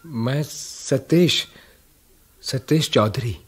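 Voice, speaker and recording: A man's voice: medium pitch, very slow, breathy edge. An actor playing a weary, disillusioned writer in a radio drama, respectful and hesitant in his speech. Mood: reserved, earnest. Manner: hesitant, deferential, brief phrases. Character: subdued male writer, respectful, weary, disillusioned old comrade.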